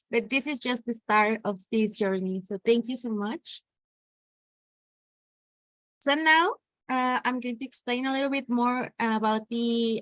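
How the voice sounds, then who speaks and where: A woman's voice: on the high side, a bit bright, dry recording, poor audio. A woman hosting and moderating a workshop, introducing it and putting questions to speakers.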